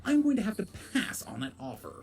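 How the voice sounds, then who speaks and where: A woman's voice: mid-range voice, huge pitch swings, fast talker, over music. A woman voicing a smug, evasive male chaos spirit who brushes off every accusation. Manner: airy, dismissive denials.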